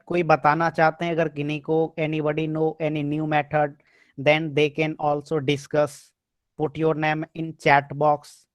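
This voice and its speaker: A man with an Indian accent teaching a maths class online over a computer mic, switching between English and Hindi and repeating his points. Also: a little high.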